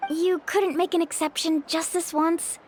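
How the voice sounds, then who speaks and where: A woman's voice: very high-pitched, level tone, measured pace, darkish tone, a little hiss or hum. An actress playing an upbeat, confident, friendly young woman in a video game, with a breezy, casual manner. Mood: persuasive. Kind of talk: English game voice acting.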